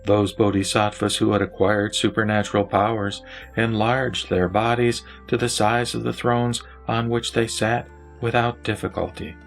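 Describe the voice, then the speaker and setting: A man narrating a sacred text in a slow, measured, solemn reading. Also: lowish voice, flat delivery, vocal fry, faint music behind. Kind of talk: scripted sutra narration.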